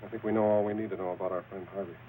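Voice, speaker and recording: A man's voice: on the low side, little pitch movement, very fast, slight background noise, close to an everyday voice. An actor with an American accent playing a laconic, wry undercover lawman in an old radio noir drama. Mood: cool, businesslike. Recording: tinny old radio recording with music.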